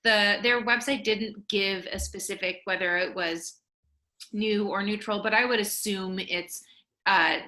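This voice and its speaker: A woman hosts a virtual wine tasting over a video call. She has a mid-pitched voice with a bright, forward tone. She shares informative notes in a friendly way, with frequent ums. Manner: friendly, informative, many fillers.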